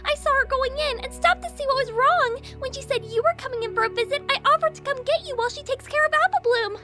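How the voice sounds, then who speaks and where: A woman voicing a bubbly, hyperactive pink female party pony in a fan audio drama, chattering excitedly. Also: extremely high pitch, fast talker, over music.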